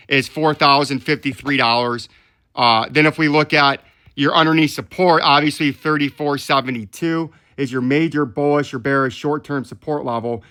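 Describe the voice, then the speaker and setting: A man's voice: medium pitch, bright, forceful tone. A man hosting a market analysis channel, talking through charts step by step and often checking in with the listener. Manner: explanatory, often checks in with "right?". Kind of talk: live technical chart commentary.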